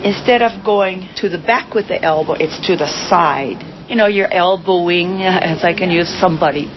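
A woman teaching a hula class outdoors, giving brisk, encouraging directions. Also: lowish voice, a crowd in the background. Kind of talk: instructing a dance class. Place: outdoors on a lawn. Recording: muffled, distant, music behind.

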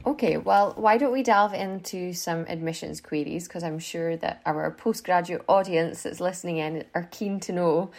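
A woman's voice: fairly low pitch, normal speed, few pauses, darkish tone. A woman hosting a conversational podcast in an informal, encouraging way. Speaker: podcast host.

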